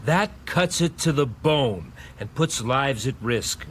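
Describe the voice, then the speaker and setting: An actor playing a blunt, hot-tempered American astronaut colonel in a radio drama, indignant and given to swearing. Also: male voice, mid-range voice, expressive, takes it slowly, a little hiss or hum.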